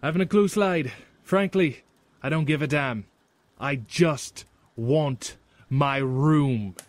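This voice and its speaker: A man's voice: a little high, takes it slowly, dry recording. A man playing a weary, irreverent adventurer in a fantasy audio drama. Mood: sardonic, weary.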